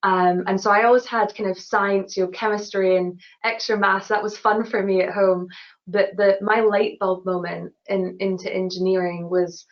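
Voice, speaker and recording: A woman's voice: mid-range voice, little pitch movement, normal speed. A woman with a Glasgow accent answering questions in a webinar, earnest and full of "you know" and restarts. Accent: Scottish (Glasgow). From Glasgow, Scotland. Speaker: award-winning engineer guest. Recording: dull lo-fi webinar audio.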